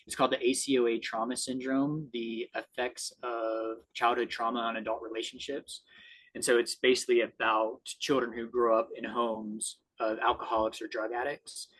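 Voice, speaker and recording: A man's voice: medium pitch, a bit bright, poor audio. A man in an earnest, reflective conversation on a video call, speaking as a guest. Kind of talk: conversational discussion, recommending books.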